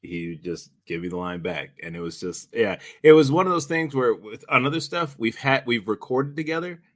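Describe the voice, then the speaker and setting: A man chatting casually about directing, speaking in a halting, rambling way and often repeating himself. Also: mid-range voice, lively intonation, no echo, studio quality. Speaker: director and podcast host. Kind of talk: casual behind-the-scenes chat.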